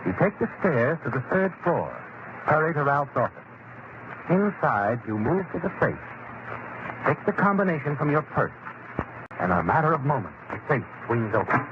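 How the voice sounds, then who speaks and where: A man acting as an all-knowing suspense narrator in an old-time radio drama, insinuating and deliberate as he needles a guilty woman. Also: fairly high pitch, sing-song. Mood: knowing, taunting. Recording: noisy, muffled vintage radio broadcast recording.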